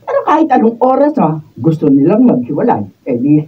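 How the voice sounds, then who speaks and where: An actress playing a cynical older mother with a husky voice, blunt and dismissive, in a Filipino radio drama. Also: low-pitched, sing-song, fairly quick, exaggerated voice. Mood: exasperated, dismissive. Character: nagging, cynical older mother, scornful of weddings. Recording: distant, thin phone-like capture.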